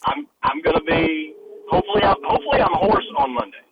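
Male caller, lively halting delivery, muffled noisy phone line. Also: a little high, over a noisy crowd. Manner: halting, repeats words, animated. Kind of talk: sports radio call.

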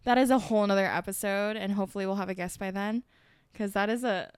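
A woman in her twenties co-hosting a podcast, relaxed and reactive, laughing often in casual chat. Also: mid-range voice, fast, clear, resonant tone, dry recording. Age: 20s. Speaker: podcast co-host.

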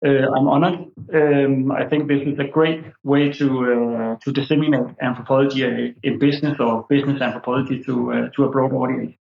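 A man in his forties from Denmark with a Danish accent, a professor answering interview questions haltingly with many fillers and restarts. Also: medium pitch, flat delivery, gravelly, echoey room, lo-fi sound. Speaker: guest, anthropology professor.

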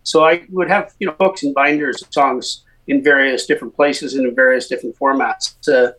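A man's voice: mid-range voice, steady tone, a little fast, fairly bright tone, low-quality recording. A middle-aged man from Winnipeg with a Canadian accent, a musician guest telling his story in a chatty way, with many fillers. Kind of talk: casual interview.

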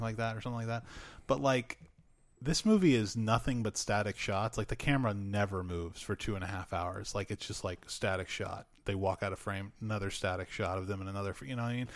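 A man co-hosting a casual film chat, rambling excitedly with many fillers and laughs. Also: on the low side, dry recording. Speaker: podcast co-host.

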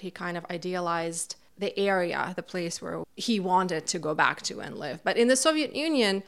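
A middle-aged woman from Kazakhstan tells her family's story in an interview. Her voice sits low and sounds smooth and clear. She talks in a reflective way and pauses on fillers as she thinks. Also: fairly bright tone. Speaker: interviewee, NGO founder. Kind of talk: personal recollection in an interview.

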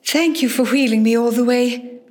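Woman acting as genteel lady film star, high voice, gracious, reserved, polite, radio play. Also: steady tone, normal speed, darkish tone, natural voice. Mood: gracious, guarded. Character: polite, genteel London-raised female film star as patient.

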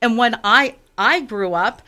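A middle-aged woman from Western Pennsylvania, a political candidate answering interview questions earnestly, often pausing and restarting. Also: on the high side, sharp tone. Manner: earnest, halting with fillers and restarts.

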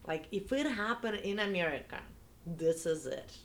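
Low-voiced, gravelly woman. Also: animated, moderate pace, a little hiss or hum. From Estonia, Eastern Europe. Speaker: interview guest, event organiser. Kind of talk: casual conversational discussion.

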